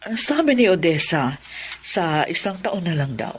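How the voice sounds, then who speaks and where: A widowed aunt in a radio drama, calm and measured. Her voice is low and husky, with a lively pitch. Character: middle-aged widowed aunt, calm and grateful. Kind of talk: classic radio drama acting.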